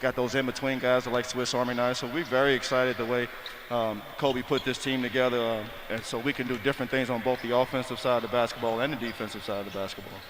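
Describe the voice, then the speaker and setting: Male basketball coach interviewee, medium pitch, informal and easygoing, noticeable venue noise. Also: little pitch movement, quick pace, fairly bright tone. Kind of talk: casual sports interview. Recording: clear venue background noise.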